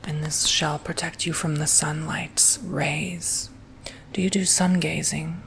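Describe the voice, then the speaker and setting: A man whispering softly and gently through an ASMR roleplay, as if doing someone's makeup. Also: on the high side, steady tone, gravelly, a little hiss or hum, low-quality recording. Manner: soft whispering, slow and gentle.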